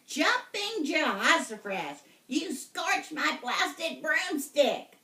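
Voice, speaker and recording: A woman voicing a cranky, bossy old female witch in a storybook reading, shouting and screeching. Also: high voice, sing-song, very slow, distant mic, voice pushed up, exaggerated voice. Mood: furious.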